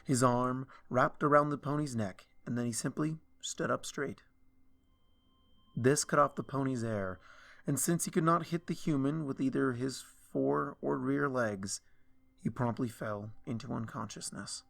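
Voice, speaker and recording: A man reading a dark fan story aloud in a slow, hushed, brooding way. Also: medium pitch, vocal fry. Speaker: fanfiction reader. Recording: soft music underneath.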